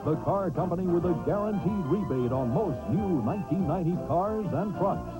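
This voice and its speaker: A man's voice: high voice, expressive, normal speed, over loud music, rough recording. An American man reading scripted sponsor announcements as a television voice-over.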